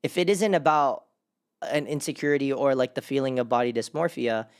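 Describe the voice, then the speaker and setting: A man's voice: mid-range voice, fairly quick, clear, resonant tone. A man hosting a casual group discussion, encouraging and slangy in how he talks. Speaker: podcast host.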